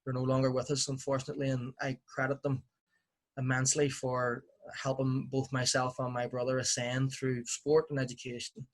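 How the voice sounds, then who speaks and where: A man's voice: mid-range voice, monotone, moderate pace. A man in his forties with a Belfast accent, a podcast guest telling his story in a reflective, wry way. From Northern Ireland. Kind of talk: relaxed interview storytelling.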